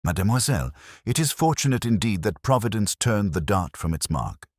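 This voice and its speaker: A man's voice: on the low side, big pitch swings, a little fast, no room sound, clean studio sound, voice pushed up. A man voicing a keen, coolly confident detective with eloquent, pompous phrasing in a storybook reading. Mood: courteous, measured.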